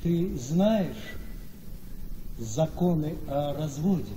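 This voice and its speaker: An actor playing a manipulative, self-pitying gentleman husband in a Russian radio play, coaxing and melodramatic. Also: on the high side, expressive, drawn-out words. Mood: smooth coaxing. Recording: reverberant studio radio play with noise.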